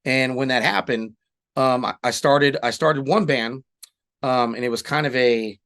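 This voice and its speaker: A man's voice: mid-range voice, measured pace, fairly bright tone. Man telling his own story, candid and loose. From Southern California. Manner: candid storytelling, casual swearing. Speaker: guest, singer-songwriter and Marine veteran. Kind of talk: casual interview chat.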